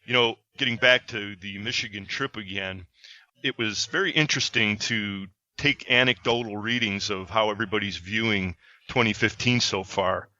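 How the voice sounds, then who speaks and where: A male podcast host talks in a chatty, upbeat way, slipping in plugs. His voice is very bright and forceful, pitched a little low. The sound is dull and lo-fi. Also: normal speed. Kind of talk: casual chat with plugs.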